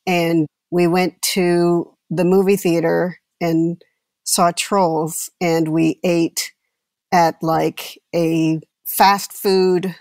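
A woman's voice: on the low side, level tone, drawn-out words, a little mellow, dry recording, studio quality. A woman guest telling casual anecdotes in a candid, wry, emphatic way. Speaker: actor, panel guest. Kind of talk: casual anecdote in a panel conversation.

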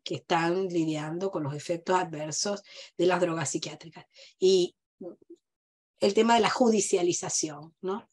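A woman lecturing over a video call, stressing points and listing examples with pauses. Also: medium pitch. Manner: emphatic, lists examples with pauses. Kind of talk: academic talk on video call.

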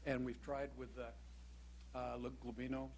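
A man's voice: medium pitch, flat delivery, unhurried, gravelly, a little mellow, slight background noise. A man in the audience asking a panel a question, stammering and eager. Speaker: audience member.

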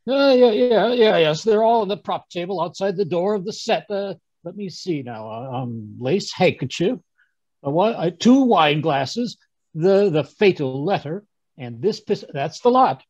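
A man playing a fussy, flustered gentleman sidekick in old-time radio style, husky and stammering over a video call. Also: high voice, animated, unhurried, dry recording. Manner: stammering, blustering, muttering. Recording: muffled video-call audio.

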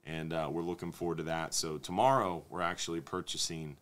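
Low-voiced, smooth man. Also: brisk. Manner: relaxed, conversational, reads viewer questions aloud. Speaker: fishing show host. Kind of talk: live Q&A stream. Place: studio set with desk. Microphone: desk microphone on stand.

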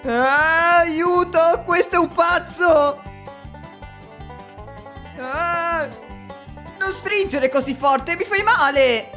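A man's voice: extremely high pitch, drawn-out words, long pauses, pushed and bright, soft background music, full character voice. Man voicing an earnest, anxious schoolboy. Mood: frightened. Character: polite, anxious, reasonable teenage schoolboy. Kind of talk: Italian fan cartoon dub.

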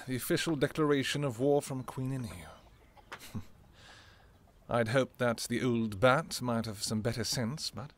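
A man's voice: medium pitch, slight background noise, lightly acted. A man playing a devoted royal vampire king in a romantic fantasy roleplay, speaking formally and intimately to his lover. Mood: wry, exasperated. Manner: formal, archaic, intimate phrasing.

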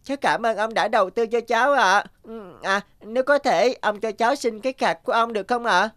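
A woman's voice: a little high, a little fast, fairly bright tone, no echo, clean studio sound, natural voice. A woman voicing a polite, eager, determined young street boy in a cartoon. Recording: music behind the voice.

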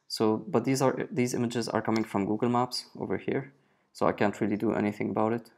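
A man in his thirties talking through a software tool in a relaxed screencast, with frequent fillers as he explains. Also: mid-range voice. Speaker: web developer presenting a screencast. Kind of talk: casual screencast walkthrough.

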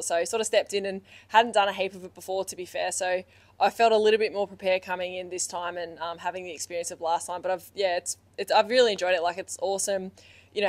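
A young woman team captain answering press conference questions in a casual, quick way, with lots of "um" and "you know", into a handheld mic indoors. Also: fairly low pitch, no room sound. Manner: quick, casual, filler-heavy. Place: indoor press room, sponsor backdrop. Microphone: handheld microphone.

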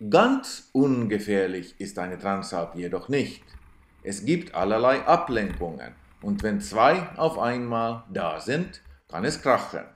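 Slow, dry man narrating. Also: mid-range voice, reverberant. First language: Swedish. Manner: dry, self-ironic storytelling. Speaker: cyclist narrating his trip video. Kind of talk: scripted voice-over narration.

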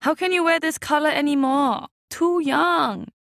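A woman's voice: high-pitched, expressive, moderate pace, fairly bright tone, no echo, clean studio sound, voice pushed up. A woman voicing a bossy, scolding middle-aged auntie who speaks broken English.

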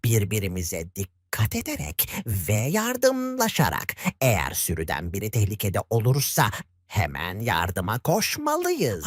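A man's voice: fairly high pitch, no echo, clean studio sound. A man voicing a wise, patient old dolphin leader who teaches the young in a children's story. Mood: caring, advising. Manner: patient, instructive.

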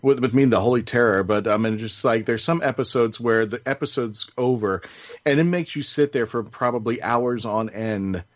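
A man's voice: mid-range voice, normal speed, dry recording. A man hosting a casual panel chat in a rambling, enthusiastic way, on muffled audio. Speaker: podcast host.

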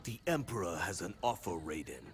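An actor playing a sly, scheming sorcerer in a video game, with a gravelly voice and formal, deliberate delivery. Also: male voice, deep voice, very animated. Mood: sly, persuasive. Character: sly male sorcerer, scheming servant of an emperor. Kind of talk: video game cutscene acting.

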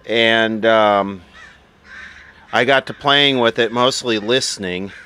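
Man, low-pitched, very unhurried, casual repair commentary, dry and sardonic. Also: bright, forceful tone, clean tone. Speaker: vintage electronics repair host. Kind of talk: casual off-the-cuff bench commentary.

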